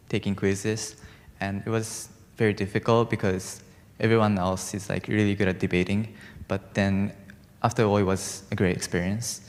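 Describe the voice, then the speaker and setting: A teenage boy introducing himself as a student panelist, casual and restarting often. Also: lowish voice. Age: teens. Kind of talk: prepared self-introduction. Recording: echoey hall.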